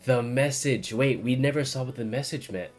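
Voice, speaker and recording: A woman's voice: extremely low pitch, normal speed. A woman streaming a game with casual, sweary, emotionally reactive commentary, talking back to the game. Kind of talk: casual live playthrough commentary. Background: game music.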